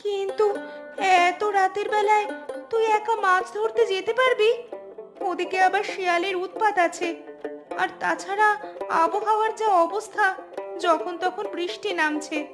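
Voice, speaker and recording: Actress as very high-pitched anxious village woman. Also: fairly even intonation, measured pace, pauses often, loud music behind the voice. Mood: worried. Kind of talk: cartoon story acting.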